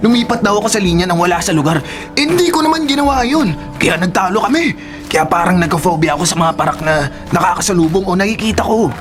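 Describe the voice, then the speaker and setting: A man's voice: high-pitched, animated, fast. A young man acting a jumpy, evasive petty crook in a radio drama, hesitant and defensive. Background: music.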